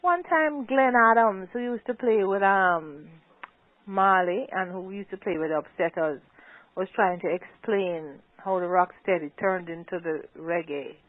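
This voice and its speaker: A woman's voice: medium pitch, measured pace, no echo. An older woman, a talkative singer who laughs often, chatting as a guest in a phone interview. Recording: tinny dry phone line.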